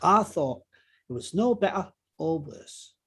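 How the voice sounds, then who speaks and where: A man's voice: on the high side, expressive, takes it slowly, breathy edge, heavily acted. A man playing a terse, guarded riverboat captain under questioning in a courtroom drama table read, giving clipped, unyielding answers.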